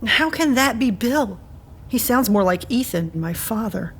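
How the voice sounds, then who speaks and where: A woman's voice: on the high side, lively intonation, unhurried, slight background noise. A woman playing a lonely, dejected, sympathetic farm resident in an audio drama, subdued and confiding. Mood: concerned. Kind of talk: scripted audio drama acting.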